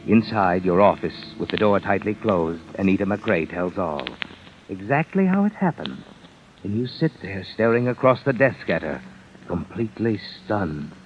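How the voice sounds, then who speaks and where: Sly, ominous radio mystery narrator. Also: male voice, deep voice, animated, moderate pace, a little hiss or hum. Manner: taunting, insinuating, dramatic. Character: sinister knowing male narrator taunting a schemer. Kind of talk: golden age radio mystery narration, second person. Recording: muffled vintage radio broadcast over music.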